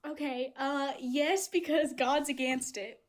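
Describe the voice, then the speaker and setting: A nineteen-year-old woman with a high-pitched voice, calling in to a livestream debate and talking in an apologetic, earnest way. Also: fairly even intonation, slow pace. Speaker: caller.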